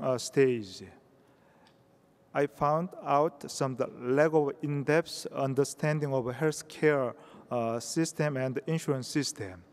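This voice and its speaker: A Korean-accented man presents at a conference, pausing often as he searches for words. His voice sits in the middle range and carries a long hall reverb. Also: medium pitch, a little mellow. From South Korea. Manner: halting, many hesitations. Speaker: conference speaker.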